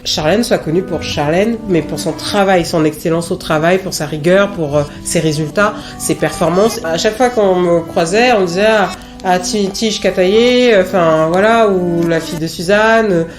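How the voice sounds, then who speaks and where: A woman's voice: on the low side, moderate pace, over music. A woman in her late thirties from French Guiana giving a reflective personal testimony, speaking candidly and with self-assured emphasis. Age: late 30s. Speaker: interviewee.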